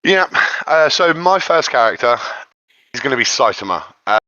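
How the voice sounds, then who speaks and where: A man speaking as a guest in a casual discussion, explaining his points in a measured, halting way over lo-fi audio. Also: mid-range voice, sing-song, bright, forceful tone, dry recording. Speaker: guest panelist.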